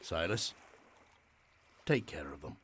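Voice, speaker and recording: An actor playing a gallant, reassuring ship's captain in a period audio drama, courteous and playfully affectionate. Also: male voice, very deep voice, animated, takes it slowly, a bit bright, slight background noise, full character voice. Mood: tender.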